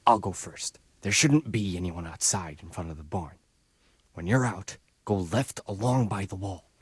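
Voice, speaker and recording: A soldier guard in a medieval video game, slipping a prisoner his escape plan in low, hushed tones. The voice sits fairly deep and has a husky, slightly rough grain. He sounds conspiratorial and practical, a guard quietly breaking his own orders. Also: lively intonation, normal speed, no echo, full character voice. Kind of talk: game cutscene dialogue.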